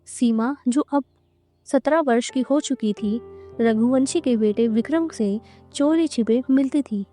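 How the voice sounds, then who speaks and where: A woman narrating a scripted moral story in a measured, dramatic storytelling manner. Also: on the high side, dark tone, soft background music.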